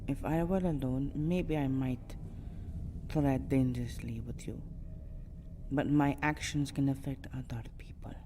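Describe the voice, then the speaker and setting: A low, mellow female vampire, acted by a woman, seductive yet guarded, in an intimate ASMR roleplay. She speaks in formal, dramatic phrases and often drops to a breathy whisper. Faint noise sits behind the voice. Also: steady tone, unhurried. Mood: regretful, firm. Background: soft ambient sound.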